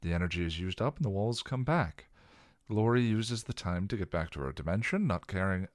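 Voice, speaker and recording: A man voicing a stern, scholarly older man who explains things in a grave, measured, lecturing way. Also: low-pitched, expressive, no room sound, clean studio sound, voice pushed up.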